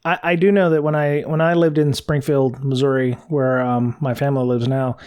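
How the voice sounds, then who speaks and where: A man's voice: mid-range voice, little pitch movement, normal speed, darkish tone, clear, resonant tone. American man chatting in a hesitant ramble. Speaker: podcast co-host. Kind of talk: casual podcast chat.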